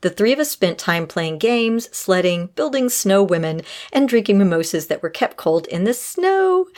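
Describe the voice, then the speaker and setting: A woman hosting a podcast in a conversational style, giving advice and talking to listeners. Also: on the low side. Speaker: podcast host.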